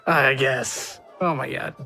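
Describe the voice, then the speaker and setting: A man's voice: medium pitch, steady tone, takes it slowly, creaky, sharp tone, soft background music. A man playing a tabletop role-playing game, chatting casually and joking in character.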